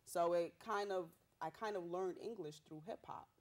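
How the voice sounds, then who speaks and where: A young woman rapper from Haiti, a Haitian Creole speaker, telling her story in a bubbly, animated way with street slang. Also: on the low side, normal speed. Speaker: rapper guest. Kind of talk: casual interview.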